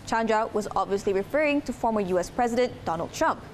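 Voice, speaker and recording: A female reporter narrates a prepared news report. Her voice is fairly high, and faint noise sits behind it. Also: expressive, quick pace.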